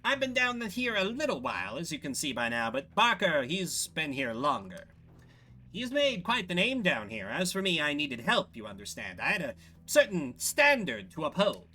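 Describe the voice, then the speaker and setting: A man voicing a shifty, fast-talking game scamp with cocky, evasive, wheedling patter. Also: a little high, wildly swooping pitch, pushed and bright, soft background music, exaggerated voice. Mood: uneasy confiding. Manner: chummy, wheedling, salesman-like patter. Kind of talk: video game character voice.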